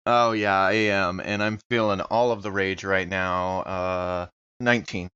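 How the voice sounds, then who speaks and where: A man's voice: deep voice, flat delivery, a little slow, pushed and bright, clear, resonant tone, lo-fi sound. A man talking casually as a player at a tabletop role-playing game.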